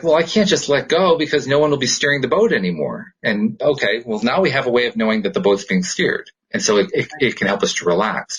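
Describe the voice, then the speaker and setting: A man's voice: low-pitched, fairly bright tone, a little hiss or hum, rough recording. A man co-hosting a podcast in a casual, encouraging way, animatedly acting out people's thoughts as he explains. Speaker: podcast co-host. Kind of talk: casual spiritual chat.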